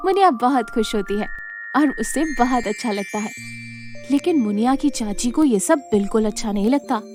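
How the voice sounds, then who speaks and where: A woman's voice: on the high side, lively intonation, normal speed, over music. A woman narrating a scripted children's moral story as the storyteller.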